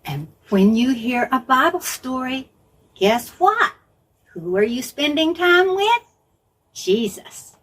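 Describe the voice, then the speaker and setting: A woman's voice: high voice, big pitch swings, slow, a bit bright, slightly rough. A woman telling Bible stories to young children in simple phrases, repeating words for emphasis and putting questions to listeners. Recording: faint background noise.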